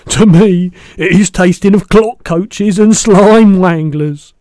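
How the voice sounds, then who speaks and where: A man voicing a big, soft-hearted, proud giant in a children's storybook, booming and spluttering with mangled rustic grammar. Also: sing-song, normal speed, no room sound. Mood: roaring, amused. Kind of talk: animated children's storybook reading.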